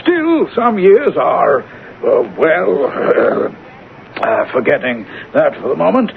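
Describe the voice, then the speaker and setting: A man's voice: a little high, wildly swooping pitch, slow, creaky, exaggerated voice. An actor playing a fussy, stingy, pompous male superior in a radio drama, stammering and dithering with disdain. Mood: puzzled, disdainful. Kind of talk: 1970s radio drama acting. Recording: tinny, noisy old radio recording.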